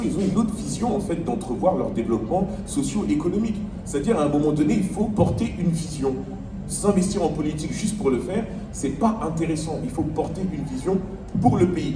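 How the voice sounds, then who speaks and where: A man born in France, an elected official, speaking on a panel discussion in an earnest, argumentative way, repeating words as he builds his point. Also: fairly high pitch. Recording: roomy, distant, noisy ambience.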